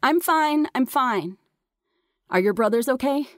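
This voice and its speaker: A woman's voice: fairly high pitch, animated, normal speed, no room sound, studio quality, pitched above the performer's own voice, close to an everyday voice. A woman voicing a protective, besieged mother who urgently calls out orders to her children in an audiobook. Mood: shouted reassurance. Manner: raised, insistent, ordering. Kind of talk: audiobook character dialogue.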